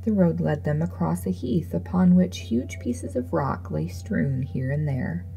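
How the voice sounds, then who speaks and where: A woman's voice: sits low, expressive, a little slow, gravelly, warm tone, soft background music, low-quality recording. A woman reading a classic fairy tale aloud as a scripted narration. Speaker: storyteller. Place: voice-over with music behind.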